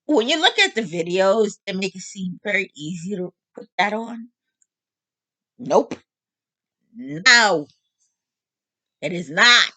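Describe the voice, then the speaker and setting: A woman in her late fifties talking openly to her audience in a casual, animated, joking monologue. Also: on the low side, dry recording. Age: 50s. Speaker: vlog host.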